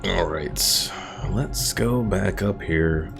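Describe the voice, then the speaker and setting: A man casually commentating his strategy game stream, explaining mechanics and answering viewers' questions in a relaxed way. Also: low-pitched, drawn-out words, vocal fry, music in the background.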